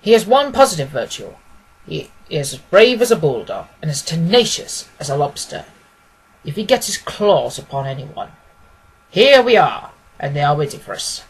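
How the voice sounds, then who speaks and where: A man's voice: a little high, big pitch swings, normal speed, husky, exaggerated voice, low-quality recording. A man voicing a clever, commanding detective in a storybook, confident, severe and precise. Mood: confiding.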